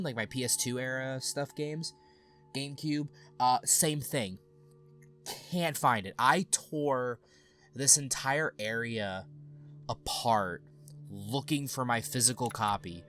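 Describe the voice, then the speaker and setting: A man's voice: mid-range voice, fairly bright tone. Young man, let's play host, sniffly, casual, rambling, faint music behind. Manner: rambling, chatty. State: sniffly from allergies. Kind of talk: casual gameplay commentary.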